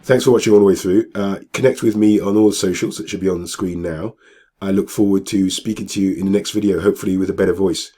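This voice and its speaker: A man whose voice is hoarse and croaky from a cold, sitting very low in pitch. He talks casually through what is on his screen, hesitating often with fillers and restarting his sentences. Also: fairly even intonation, fast, dark tone. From England. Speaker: business vlogger. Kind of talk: screen-share walkthrough talk.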